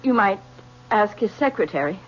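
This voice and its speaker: A woman's voice: medium pitch, expressive, normal speed, close to an everyday voice. An actress playing a composed but shaken middle-aged mother, newly widowed, in an old-time radio drama. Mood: guarded, polite. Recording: dull old radio recording with hum.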